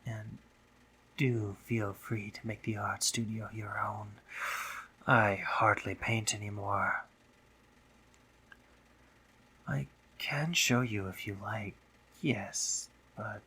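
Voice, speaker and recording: A man playing a teasing, dominant, courtly spider monster in an intimate audio roleplay, theatrical and breathy, often dropping into whispers. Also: lowish voice, bright, forceful tone, heavily acted. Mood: playful, fond. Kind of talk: intimate scripted audio roleplay, addressing the listener.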